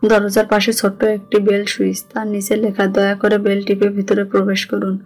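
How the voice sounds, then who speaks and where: A woman's voice: mid-range voice, completely flat delivery, moderate pace, a little mellow. A woman with a Bangladeshi accent reading scripted narration of a romantic story. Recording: music under the voice.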